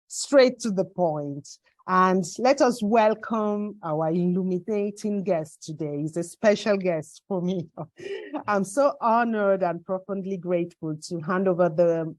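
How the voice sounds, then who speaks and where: A woman's voice: mid-range voice, no room sound. A woman hosting an interview, speaking hesitantly with many fillers and self-corrections. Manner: hesitant, many fillers and restarts, warm laughs. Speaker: host.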